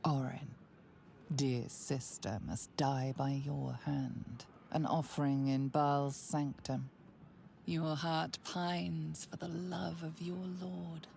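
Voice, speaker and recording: Low, gravelly, ominous game narrator. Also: female voice, flat delivery, slight background noise, exaggerated voice. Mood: ominous, hushed. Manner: hushed, menacing, deliberate. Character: grave, ominous female narrator of dark fantasy. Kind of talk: scripted dramatic video game narration.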